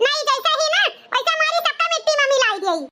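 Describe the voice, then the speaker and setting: A performer with a pitched-up, squeaky voice and an eastern Uttar Pradesh accent, voicing a melodramatic, complaining village woman in a comedy cartoon. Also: expressive, fast, noisy background. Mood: scolding, accusing. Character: scolding village mother-in-law and wronged widowed daughter-in-law, cartoon women.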